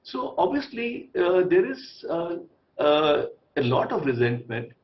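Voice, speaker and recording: A man with an Indian accent commenting on a live TV debate as a diplomat panelist, emphatic, with halting phrasing. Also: on the high side, sing-song, muffled recording. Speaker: former ambassador as panelist.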